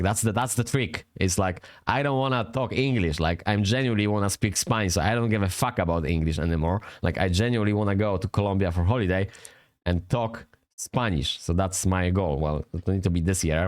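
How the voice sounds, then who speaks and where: A man with a Polish accent talks in a low, smooth, resonant voice. He rambles in loose, casual commentary, swears freely and keeps restarting his sentences. The sound is dry and close, with no room around it. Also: darkish tone, dry recording. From Poland. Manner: swears often, rambling and self-correcting, chuckles. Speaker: vlogger reviewing a language app.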